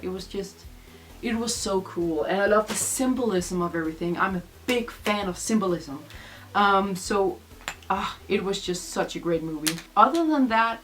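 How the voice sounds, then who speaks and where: A woman's voice: medium pitch, a bit bright. Young woman from Denmark, Danish native, rambling casual vlog chat, lots of fillers. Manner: rambling, many fillers and restarts. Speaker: vlogger. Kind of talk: casual chat answering tag questions.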